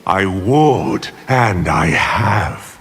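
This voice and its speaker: An actor voicing a smug, scheming sorcerer villain in a video game, with a measured, theatrical, sneering delivery. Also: male voice, low voice, expressive, heavy background noise, full character voice. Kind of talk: video game character dialogue.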